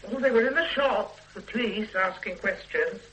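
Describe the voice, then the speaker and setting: A man's voice: sits high, moderate pace, sharp tone, poor audio. An actor playing a chatty shopkeeper in his sixties who knows everyone on the street, in a radio drama. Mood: gossipy. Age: 60s. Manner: gossiping, knowing. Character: chatty old male shopkeeper, gossipy. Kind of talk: 1970s radio drama acting.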